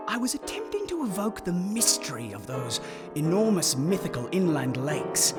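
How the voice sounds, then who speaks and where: Wry old composer narrating, swooping pitch. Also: male voice. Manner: pompous, dryly ironic, measured. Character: wry, pompous elderly male composer recalling his orphan boyhood. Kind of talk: scripted radio play narration.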